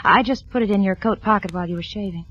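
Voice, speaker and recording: A woman's voice: medium pitch, quick pace, a bit bright, slight background noise, lightly acted. An actress playing an anxious, evasive wife and mother with a hesitant, nervous manner, in 1940s radio drama style. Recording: muffled old radio recording, music behind.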